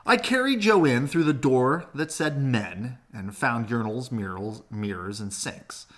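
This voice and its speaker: A man reading a children's picture book aloud in an expressive storytelling way. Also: mid-range voice, measured pace.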